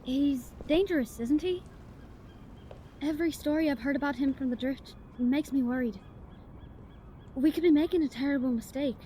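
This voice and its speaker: A woman's voice: high voice, moderate pace, pauses often, mellow, slight background noise, lightly acted. A woman playing an anxious, doubting young granddaughter in an audio drama, with a questioning manner. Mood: worried. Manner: worried, questioning. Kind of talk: cinematic audio roleplay acting.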